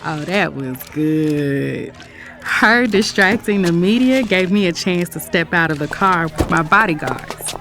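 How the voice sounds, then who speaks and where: A woman playing a carefree young runaway bride, a billionaire's daughter, telling her story in an expressive, conversational audio drama style. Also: lowish voice, measured pace, soft background music. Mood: amused narration. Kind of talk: first-person audio drama narration and dialogue.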